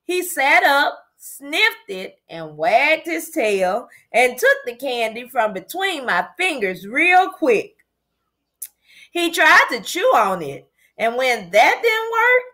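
A young woman reading a children's story aloud like a teacher, in a deliberate and expressive manner. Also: fairly high pitch. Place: kitchen area of a home, indoors. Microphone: webcam or laptop at arm's length.

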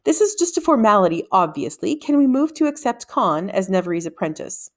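A woman's voice: mid-range voice, very animated, a little fast, close to an everyday voice. A woman voicing a plump, nervous male wizard in a storybook, hesitant and conciliatory.

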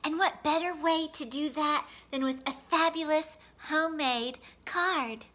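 A woman voicing an excitable, proud little girl fairy princess from a storybook, in an expressive, gushing, dramatic manner. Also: sits high, a little slow. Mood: delighted.

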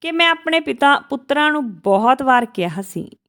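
Lady reading an emotional moral story, kinda high voice, short choppy phrases. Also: lively intonation, moderate pace, a bit bright.

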